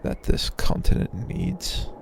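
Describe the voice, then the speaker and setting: A man playing an arrogant, possessive male blue dragon in a slow roleplay ASMR monologue, with a gravelly, breathy growl and a haughty, rambling manner. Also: background noise, natural voice. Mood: proud, musing. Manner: haughty, rambling, with pauses and sighs.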